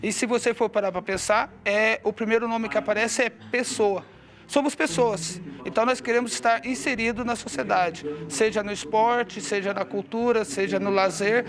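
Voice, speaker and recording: A man's voice: high voice, fairly even intonation, normal speed. A Brazilian man giving a news interview as a participant at a cultural event. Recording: noisy crowd behind him.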